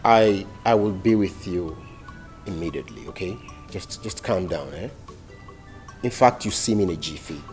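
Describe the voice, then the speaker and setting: A caring older brother in a Nigerian screen drama, his voice rising and falling a lot as he soothes his sister over the phone. He repeats himself gently and tells her to stay calm. Faint music plays behind him. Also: deep voice, moderate pace, pauses often. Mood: soothing. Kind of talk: Nollywood drama acting.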